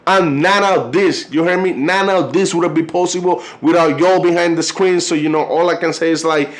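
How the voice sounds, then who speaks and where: Colombian guy vlogging in english, kinda high voice, says you know every other word, trips up reading stuff. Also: fairly even intonation. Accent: Colombian Spanish. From Cartagena, Colombia. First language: Spanish. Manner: loose, rambling, constant "you know" and "like" fillers; halting when reading aloud. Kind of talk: casual video chat.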